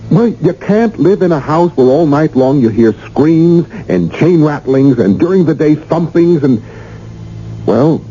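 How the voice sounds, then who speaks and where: A man's voice: medium pitch, warm tone. A man playing a nervous, earnest young husband in a radio drama, speaking hesitantly. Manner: hesitant, stammering under strain. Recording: dull, hissy old radio recording.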